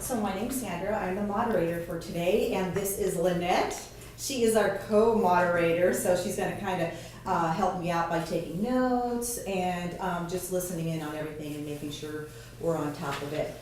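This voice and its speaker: A woman's voice: fairly low pitch, normal speed, a little mellow, slight background noise. A woman moderating a focus group, explaining the ground rules in a chatty way with many fillers and restarts. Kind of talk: casual group briefing. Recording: echoey hollow room, mic at a distance.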